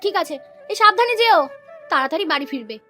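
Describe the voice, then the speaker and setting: Woman acting an animated, very high-pitched worried mother. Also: quick pace, music in the background. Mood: anxious. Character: anxious, kindly middle-class mother-in-law of grown son. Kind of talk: cartoon voice acting, domestic moral story.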